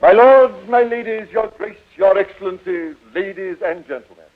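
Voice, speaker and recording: A man's voice: high-pitched, normal speed, close to an everyday voice. An actor playing a fond, flustered, grandiose middle-aged father in a 1940s radio drama, with a theatrical, declamatory manner. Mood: grand announcing. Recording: tinny, narrow-band 1940 radio recording.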